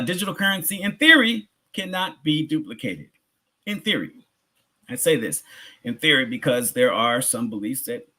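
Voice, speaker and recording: A man's voice: a little high, sharp tone. A man giving a public educational lecture in a conversational way, asking rhetorical questions and repeating phrases for emphasis. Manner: conversational, informal address to audience. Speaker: community lecturer.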